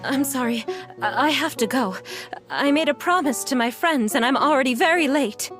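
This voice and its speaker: Earnest, high-voiced young heroine. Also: female voice, animated, normal speed. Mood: urgent, determined. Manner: urgent, insistent. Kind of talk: dramatic video game acting. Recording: game music under the voice.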